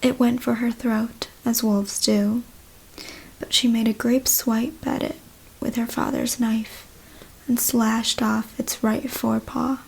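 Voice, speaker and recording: A woman reading a story as ASMR in a soft, breathy whisper, hushed and deliberate. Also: on the high side, little pitch movement, low-quality recording. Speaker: ASMR reader.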